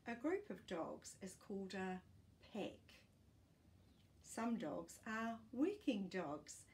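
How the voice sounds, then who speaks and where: A woman in her sixties reading a children's picture book aloud in an expressive, teacherly way, as if to young listeners. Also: mid-range voice, slow. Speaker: children's storytime reader. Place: small furnished living room, indoors.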